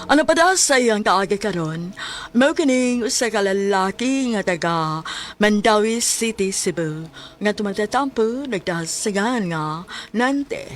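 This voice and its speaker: Female announcer, Cebuano Filipino accent, unhurried, scripted radio drama intro, music bed. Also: medium pitch, lively intonation. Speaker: announcer and narrator.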